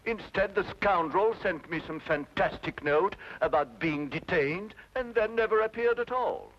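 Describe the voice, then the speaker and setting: A man's voice: high-pitched, animated, a little slow. A man playing a stern, suspicious middle-aged father with a blustery, grudging manner in an old radio drama. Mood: gruff, indignant. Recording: dull old radio recording, faint noise.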